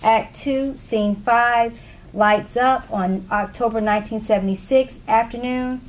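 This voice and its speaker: A woman's voice: a little high, fairly even intonation, unhurried. A woman narrating a play, reading the scripted stage directions aloud. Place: live readers theatre room. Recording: faint background noise.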